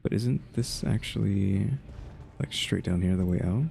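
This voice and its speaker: A man's voice: low-pitched, unhurried, vocal fry, dark tone, soft background music. A man giving casual video game commentary, muttering and thinking aloud as he plays. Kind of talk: casual gameplay commentary, reading quest text.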